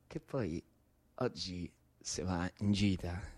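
A man with a Roman Italian accent performing a colloquial theatrical monologue for radio as an animated storyteller. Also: low voice, takes it slowly, slightly rough, no room sound. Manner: animated, colloquial, shifting between narration and characters. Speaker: actor and storyteller.

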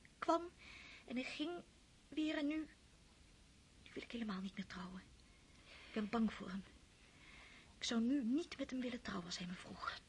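Young girl crying and whispering in a radio play, high pitched, faint hiss behind. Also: expressive, normal speed, pauses often. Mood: tearful. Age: young adult. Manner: tearful, often whispering. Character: young woman, heartbroken, proud and tearful. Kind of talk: radio drama acting.

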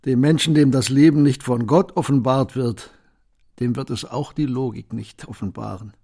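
Radio play elder: a gentle old shopkeeper, serene sage, mid-pitched gravelly voice with husk. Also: male voice. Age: older. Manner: calm, aphoristic, unhurried.

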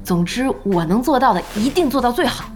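An actress playing a brisk, spirited internet cafe owner in an audio drama. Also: female voice, on the high side, expressive, faint music behind, lightly acted. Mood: persuasive. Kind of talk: Chinese audio drama acting.

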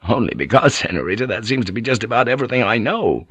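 A man's voice: medium pitch, lively intonation, fast talker, a bit bright, husky, dry recording. A man voicing a wary ship pilot who speaks carefully and deferentially.

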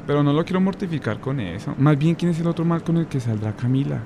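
A man's voice: fairly high pitch, lively intonation, fast, noisy background. A man playing a nosy, knowing friend with a Colombian accent in a radio soap. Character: nosy male friend who warned his pal.

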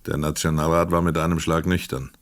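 An actor narrating a crime story in a radio play in a measured, precise manner. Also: male voice, extremely low pitch, flat delivery, no echo, clean studio sound.

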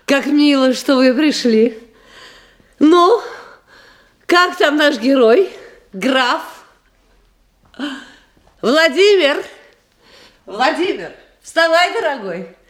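An actress in a radio play voicing a coquettish, playfully affectionate stage actress with effusive, cooing theatrical delivery. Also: female voice, high-pitched, lively intonation, very slow, pauses often, exaggerated voice. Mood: playful, coaxing.

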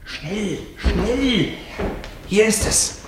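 A man's voice: high-pitched, lively intonation, extremely slow, heavy background noise, exaggerated voice. An actor playing an impatient, hot-tempered, mocking young man in a comic radio play, giving curt, urgent commands. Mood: hushed urgency. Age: young adult.

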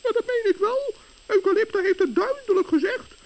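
An actor voicing a small, kind-hearted, anxious gnome in a children's radio play, with a squeaky, stammering delivery. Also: expressive, brisk, full character voice. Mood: distressed, worried. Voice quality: squeaky, creaky. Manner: stammering, worried. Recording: dull old radio recording.

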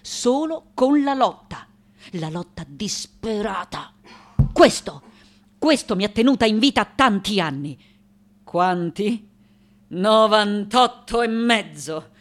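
An actress playing a fiery, eccentric woman sculptor of ninety-eight in a dramatic, halting radio monologue full of emphatic repetition. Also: mid-range voice, animated. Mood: intense, defiant. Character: fiery, eccentric 98-year-old French-born woman sculptor.

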